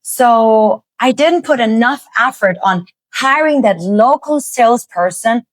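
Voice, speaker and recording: A woman's voice: a little high, animated, unhurried, dry recording. A woman from Germany, a native German speaker, chatting informally in English as an interview host. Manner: informal, often says "like". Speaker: podcast host, business coach. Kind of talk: conversational interview.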